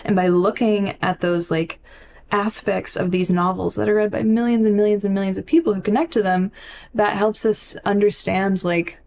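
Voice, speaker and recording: A woman's voice: medium pitch, steady tone, normal speed, vocal fry, darkish tone. A woman co-hosting a podcast in casual book discussion, chatty and peppering her sentences with "like". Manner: chatty, heavy use of "like". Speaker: podcast co-host.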